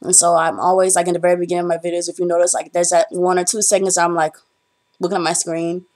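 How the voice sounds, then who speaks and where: Young female vlogger, lowish voice, chatty and rambling, fillers throughout. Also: flat delivery, quick pace, a little mellow. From Bahamas. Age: young adult. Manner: chatty, rambling storytelling with frequent ums. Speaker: vlogger, college student. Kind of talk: casual chat to camera.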